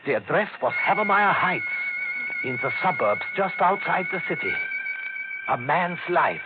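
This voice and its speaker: A man playing an anxious, evasive waiter with a halting, formal, brooding manner in a vintage radio thriller. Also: sits high, huge pitch swings. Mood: grim, haunted. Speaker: actor. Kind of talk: 1940s radio thriller acting. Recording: tinny vintage radio recording, music behind.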